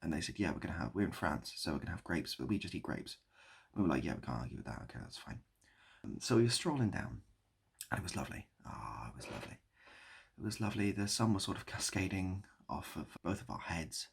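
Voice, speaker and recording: A man talking casually to camera in a rambling, self-deprecating way with deadpan whimsy. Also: sits low, creaky, slightly rough. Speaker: video creator and host. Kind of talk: casual improvised monologue to camera.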